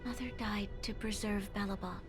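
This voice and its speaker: Actress as young noblewoman leader, formal, measured and solemn, game cutscene, strings playing behind. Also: medium pitch, steady tone, close to an everyday voice. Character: solemn, dutiful young woman heir to a Supreme Guardian. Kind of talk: dramatic video game voice acting. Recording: orchestral music behind the voice.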